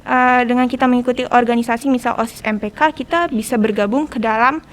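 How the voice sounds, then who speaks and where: A teenage girl with a fairly high voice speaks very quickly in Jakarta-accented Indonesian. She explains her points conversationally, like a student guest on a podcast. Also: steady tone.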